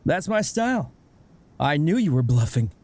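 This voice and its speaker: Easygoing middle-aged dad for a comic dub, played by a man: jovial, very animated, slightly high, light music bed. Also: moderate pace. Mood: breezy, pleased. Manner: jovial, breezy, expressive. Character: cheerful, easygoing middle-aged dad who loves poker. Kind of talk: webcomic fan dub acting.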